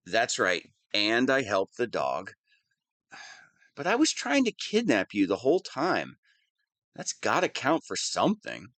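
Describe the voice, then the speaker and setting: Male actor as young wannabe supervillain, whiny, petulant, lovestruck, animated pitch, unhurried pace, dry close recording, audio roleplay. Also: no room sound. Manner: petulant, defensive, swinging between sheepish mumbling and indignant outbursts. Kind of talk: scripted audio roleplay monologue.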